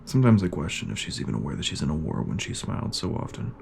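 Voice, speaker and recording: A man's voice: sits low, very animated, quick pace, creaky, a little mellow, slight background noise, full character voice. A man playing a bitter, battle-worn shock trooper sergeant in a sci-fi audio drama, terse and brooding. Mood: subdued, reflective.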